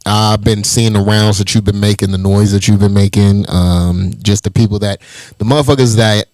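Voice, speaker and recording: A man's voice: on the low side, steady tone, normal speed, a little mellow, dry recording. A man from Las Vegas hosting a podcast, talking in a loose, hyped way with profane slang. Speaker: podcast host. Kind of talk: casual podcast interview.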